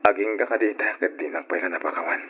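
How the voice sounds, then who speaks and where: A man playing an insistent married father in an Ilocano radio drama, with a husky voice. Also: low-pitched, quick pace, bright, forceful tone, a little hiss or hum. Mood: pleading, insistent. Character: Jerome, insistent married father demanding truth about his son. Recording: muffled lo-fi old radio recording.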